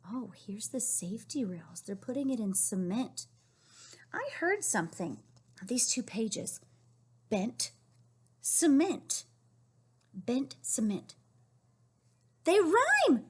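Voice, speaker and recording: Female children's storyteller, high and breathy, expressive, encouraging, asks questions as she reads. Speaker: read-aloud storyteller teaching young children. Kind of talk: picture-book read-aloud with questions to children.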